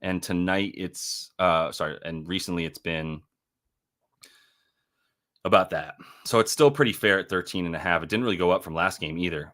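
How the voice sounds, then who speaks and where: Young guy w a deep voice hosting a betting stream, rambly, keeps correcting himself. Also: bright, forceful tone. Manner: rambling, self-correcting, thinking aloud over numbers. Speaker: sports betting show host. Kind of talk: casual livestream chat.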